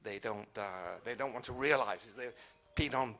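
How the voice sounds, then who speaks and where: Halting man speaking at a panel. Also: mid-range voice, bright, forceful tone. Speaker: panel participant asking a question. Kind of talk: spontaneous panel discussion.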